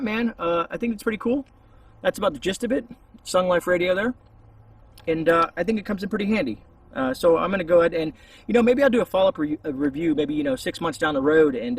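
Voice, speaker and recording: A man with a gravelly, husky voice giving a casual, rambling, hesitant product review outdoors, a little distance from the camera. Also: sits high. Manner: informal, hesitant, meandering. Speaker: YouTube reviewer. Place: outdoors among trees. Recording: voice distant from the mic.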